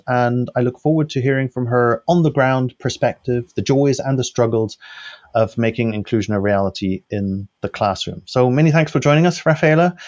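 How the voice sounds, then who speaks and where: A man hosting a panel talk, with a voice pitched in the middle for a man. He speaks politely and formally, in measured phrasing, as he introduces guests and poses questions. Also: darkish tone, highs cut off. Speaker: host.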